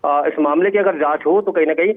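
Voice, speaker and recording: A man giving an unscripted live field report in a halting, repetitive way. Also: a little high. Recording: tinny phone-like radio-quality line.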